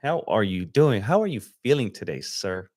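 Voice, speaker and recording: A young man from Los Angeles chatting casually on a livestream as he edits photos, relaxed and slangy, thinking aloud with fillers. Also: fairly low pitch, normal speed, no echo, studio quality. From Los Angeles, USA. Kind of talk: casual livestream chat while editing. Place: home desk setup.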